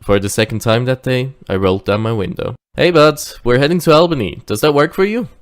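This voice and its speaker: A man playing a dutiful, wary driver who recounts his tale in measured audio drama narration. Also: mid-range voice, natural voice. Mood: friendly, practical.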